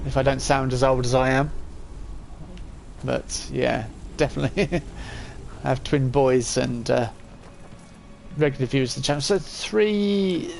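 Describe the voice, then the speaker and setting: A man's voice: medium pitch, unhurried, gravelly, a little mellow, soft background music. A man in his forties giving casual live-stream commentary as he plays, chatting and thinking aloud. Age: 40s.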